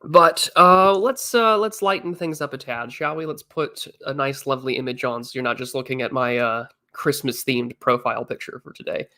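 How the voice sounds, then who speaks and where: A man's voice: mid-range voice, normal speed, hardly any pauses, pushed and bright. A man in his twenties on a casual livestream, chatting and answering viewers, still a little congested. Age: 20s. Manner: chatty, reads chat messages aloud. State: slightly congested. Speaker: streamer.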